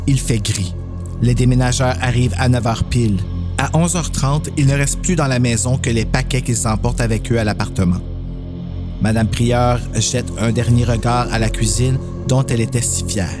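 A man's voice: mid-range voice, steady tone, moderate pace. Man narrating over music. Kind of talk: scripted horror story narration.

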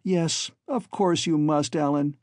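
A man's voice: a little high, takes it slowly, a little mellow, no room sound, clean studio sound, pitched above the performer's own voice. A man voicing an anxious, devoted young woman with a pleading, eager manner in an audiobook. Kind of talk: dramatized audiobook dialogue.

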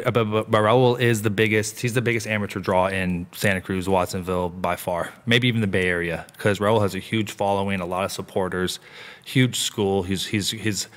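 Upbeat male interviewer with a lower voice, casual questions. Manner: upbeat, asks questions, laughs along. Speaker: interview host.

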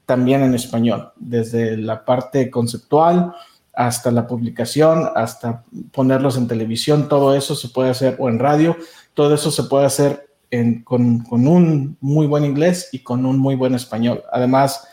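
A man's voice: mid-range voice, fairly even intonation, measured pace, warm tone, reverberant. A man whose first language is Spanish, a media business owner answering interview questions thoughtfully, with frequent restarts.

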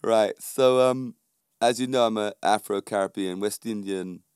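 A man's voice: fairly low pitch, measured pace, darkish tone, smooth, no echo, studio quality. A middle-aged man with a London accent, talking informally and emphatically to viewers as a fitness instructor, often checking in with short rhetorical questions. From London, England. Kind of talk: informal motivational monologue to viewers.

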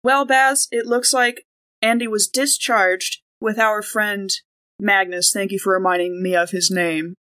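A woman's voice: medium pitch, steady tone, measured pace, sharp tone. A woman playing in a tabletop roleplaying podcast, talking casually and improvising in a chatty, rambling way. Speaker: player on a tabletop game podcast. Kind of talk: casual in-game chat, improvised.

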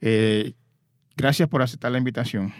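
A man's voice: medium pitch, fairly even intonation, no room sound, clean studio sound. Man hosting a podcast. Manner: measured, hesitant with fillers. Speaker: podcast host. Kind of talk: interview podcast.

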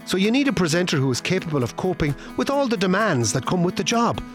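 An actor playing a wry, exasperated radio-station insider narrating a comedy play in an arch tone, with an Irish accent. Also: male voice, high voice, big pitch swings, fast, faint music behind.

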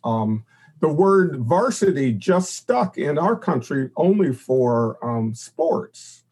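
An older man, a podcast guest, explaining in a halting conversational way and pausing to search for words. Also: a little high, big pitch swings, a little mellow, breathy edge.

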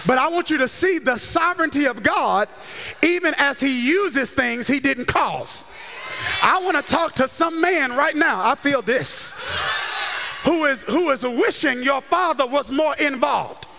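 An American man preaching a sermon with an emphatic, repetitive, call-and-response cadence, often rising into shouts. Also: very high-pitched, lively intonation, unhurried, gravelly, background noise. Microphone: handheld or stage microphone. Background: music under the voice.

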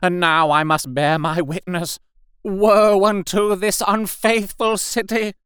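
Crazed preacher curate, audiobook, way high and loud, wailing out doom. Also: male voice, little pitch movement, takes it slowly, smooth, dry recording, studio quality. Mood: frenzied prophetic shouting. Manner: fervent, wailing, declamatory. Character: ranting half-mad curate, shrill doomsday preacher. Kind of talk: dramatic audiobook character voice.

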